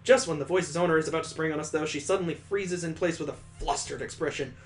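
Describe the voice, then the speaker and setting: A man's voice: a little high, fast talker. A man reading a visual novel aloud as a let's play host, adding sarcastic, playful asides.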